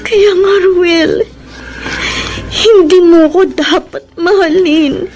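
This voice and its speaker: Over loud dramatic music comes a very high-voiced young Filipino woman in a radio drama. She pleads and confesses with tearful, guilty emotion, as a woman torn by love and betrayal. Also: takes it slowly.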